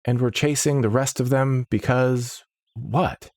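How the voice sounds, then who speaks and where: A man's voice: steady tone, a little slow, darkish tone, no room sound, clean studio sound, close to an everyday voice. A man voicing a shaken young male recruit who talks anxiously in a raised, strained voice. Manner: anxious, questioning, higher and strained.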